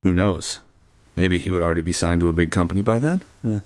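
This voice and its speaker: An actor playing a loyal, steady family friend in a crime radio play. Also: male voice, sits low, fast talker, no room sound, close to an everyday voice. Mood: wry.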